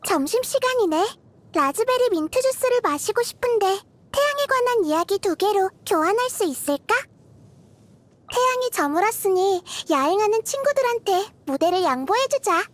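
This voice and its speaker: A woman voicing a gentle, curious little girl deity of wisdom in a game, soft-spoken and thoughtful, as if kindly explaining. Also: very high-pitched, lively intonation, moderate pace. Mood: gentle, caring. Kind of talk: scripted game character voice lines. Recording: music behind the voice. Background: background music.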